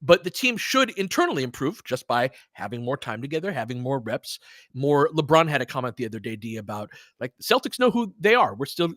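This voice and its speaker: A man's voice: mid-range voice, big pitch swings, a little fast, fairly bright tone, no room sound, clean studio sound. A man hosting a casual live sports commentary, talking in an emphatic, conversational way. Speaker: sports show host.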